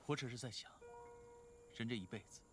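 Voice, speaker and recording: A man voicing a calm, teasing young man in an animated fantasy drama. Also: medium pitch, fairly quick, faint music behind, lightly acted. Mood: thoughtful. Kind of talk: Chinese animation voice acting.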